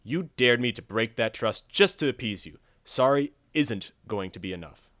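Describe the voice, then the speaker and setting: A man's voice: mid-range voice, expressive, moderate pace, a bit bright, lightly acted. A young man acting a sarcastic, wounded son in an audio drama, with a wry, dramatic, mock-formal manner. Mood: bitter, hurt. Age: young adult. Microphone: close studio condenser with pop filter.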